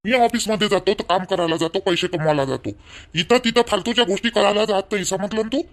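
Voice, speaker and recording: A man's voice: sits high, quick pace. A man playing an angry, controlling young village husband in a family cartoon, scolding and repeating himself in a Vidarbha Marathi accent. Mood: angry, commanding. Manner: scolding, domineering, repetitive. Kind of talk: family drama cartoon dialogue.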